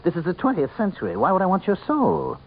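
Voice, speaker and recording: A man's voice: high voice, very animated, quick pace, creaky, heavily acted. An actor playing a suave, mocking minor demon who passes for a man, with urbane, theatrical politeness in a radio mystery drama. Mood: suave, sardonic. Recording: muffled, band-limited old radio broadcast with noise.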